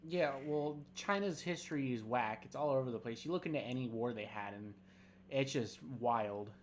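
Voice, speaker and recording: A man's voice: mid-range voice, a little hiss or hum. A young adult man co-hosting a podcast, chatting loosely with fillers and restarts, sometimes reading a script aloud. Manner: rambling, halting, many fillers. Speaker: podcast co-host.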